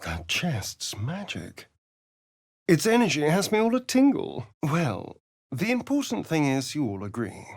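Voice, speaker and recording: An actor playing a sly, vain vampire rogue in a video game, with an arch, sardonic, flamboyant delivery. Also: male voice, a little high, wildly swooping pitch, measured pace, vocal fry, no echo, clean studio sound, full character voice. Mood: dramatic, hushed. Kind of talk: video game voice acting, dramatic lines and narration.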